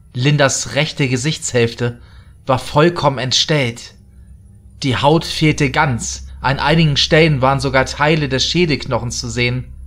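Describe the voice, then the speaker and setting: A man's voice: mid-range voice, fairly bright tone. A man narrating a scripted horror story as storyteller. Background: background music.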